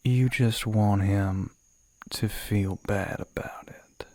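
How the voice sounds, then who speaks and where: A man playing a suave, flirty crossroads demon in an ASMR roleplay, with a courtly, teasing Southern US drawl that often drops to a soft breathy murmur. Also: fairly low pitch, fairly even intonation, takes it slowly, dry recording. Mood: amused, businesslike.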